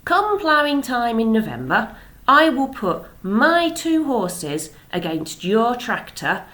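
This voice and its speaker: A proud male farmer, stubborn and deliberate, as a woman reads him aloud. He speaks slowly and challenges his rival with steady confidence, with the voice rising and falling a good deal. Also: on the high side, pitched above the performer's own voice, full character voice. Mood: challenging.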